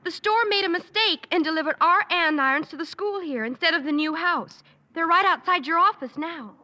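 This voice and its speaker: An actress playing a sweet, coaxing teenage daughter in a 1950s radio sitcom. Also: female voice, very high voice, fairly quick, sharp tone. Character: sweet chirpy teenage girl, principal's daughter.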